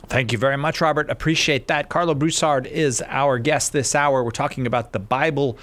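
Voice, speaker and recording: A man's voice: mid-range voice, steady tone, a little fast, fairly bright tone. A man hosting a call-in radio show, reading the phone number and joking with his guest. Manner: friendly, joking, promotional. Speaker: radio host.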